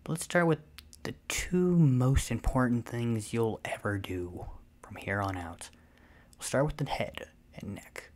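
Vampire mistress , played by a woman: smug, playful, possessive, breathy ASMR delivery, taking her time. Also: a bass voice, darkish tone, full character voice. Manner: self-satisfied, teasing, unhurried, often breathy and half-whispered. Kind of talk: ASMR roleplay.

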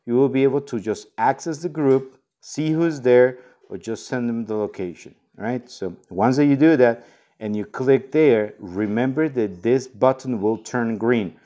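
A man's voice: mid-range voice, unhurried, dark tone. Man giving a casual step-by-step app tutorial. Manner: informal, step-by-step explaining. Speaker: product tutorial host. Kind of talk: casual instructional walkthrough.

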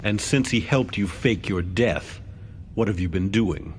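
Man acting as stern middle-aged official, formal questioning, very low voice, game cutscene, faint background noise. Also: a little slow, close to an everyday voice. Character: stern, probing middle-aged male official leading a hearing.

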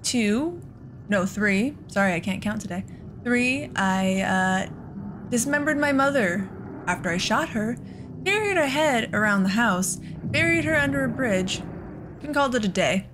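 A woman's voice: on the high side, animated, a little slow. Woman rambling through gameplay, noisy background. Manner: rambling, thinking aloud. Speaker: video game streamer. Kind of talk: casual let's play commentary.

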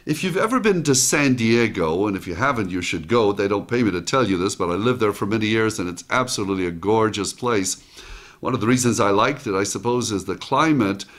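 An older man from Israel, hosting a motivational talk straight to camera in a small studio and telling stories with lively acted-out quotes. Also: lowish voice, brisk. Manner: lively storytelling, acts out quoted lines. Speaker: host and motivational speaker. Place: small home studio set, indoors.

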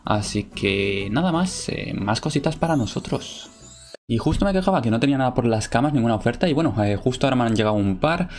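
Young man, Spain Spanish accent, gravelly voice, casual filler-heavy commentary. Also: mid-range voice, darkish tone. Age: young adult. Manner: chatty, filler-heavy, addresses viewers. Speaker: gaming video creator.

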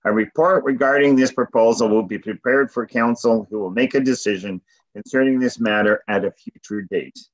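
Casting: a mid-pitched man running a council session, procedural and steady, over muffled call audio. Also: normal speed. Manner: procedural, repeats "going once, going twice". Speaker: deputy mayor chairing a council meeting. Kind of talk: formal meeting chairing. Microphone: video call.

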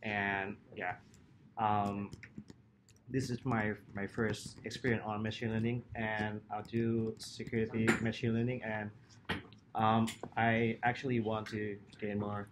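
Young male club member, Thai accent, low register, little pitch movement, casual introduction. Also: flat delivery, measured pace, noisy background. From Thailand. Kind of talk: informal self-introduction. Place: meeting room, indoors.

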